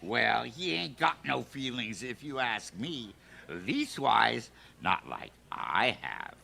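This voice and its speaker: An actor playing a folksy, lovestruck old custodian in an old-time radio comedy. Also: male voice, medium pitch, sing-song, slow pace, creaky, sharp tone, exaggerated voice. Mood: smitten, insistent. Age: older. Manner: folksy, drawling rustic turns of phrase.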